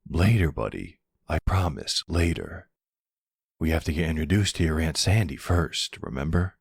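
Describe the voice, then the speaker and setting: A man acts out a suave mobster boyfriend in an audio drama. His voice is very low and a little rough, with lively swings of pitch. He speaks in a measured, polite way. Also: no room sound, clean studio sound, heavily acted. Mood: gentle, firm. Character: charming, suave male mobster boyfriend, adult. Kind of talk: audio roleplay drama acting.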